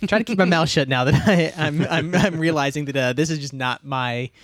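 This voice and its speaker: A man's voice: fairly high pitch, fairly quick, a bit bright, no room sound. A man playing a tabletop role-playing game, speaking in a casual, rambling, conversational way.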